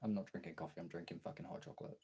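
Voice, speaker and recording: A British man with a raspy, hoarse voice from being ill, chatting casually and swearily on a livestream. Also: low voice, racing, mellow. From Britain. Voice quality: raspy, throaty. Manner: informal, sweary. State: hoarse from illness, throat clearing. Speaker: live streamer and audio voice performer.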